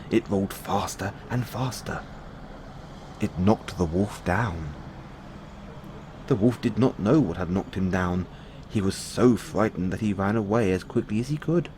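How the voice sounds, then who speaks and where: A man reading bedtime fairy tales as an audio roleplay, in measured storybook narration. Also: low-pitched, background noise.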